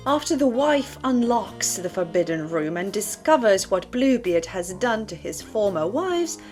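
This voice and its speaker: A woman's voice: mid-range voice, big pitch swings, unhurried, a little mellow, soft background music. Woman hosting a dramatic, conversational book commentary. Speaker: host of a disturbing literature channel. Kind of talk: casual scripted commentary to camera.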